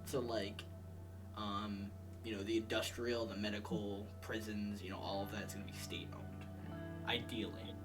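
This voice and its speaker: A man's voice: lowish voice, little pitch movement, moderate pace. A man talking casually as he organizes a group gaming discussion and explains the options. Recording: distant mic, music behind.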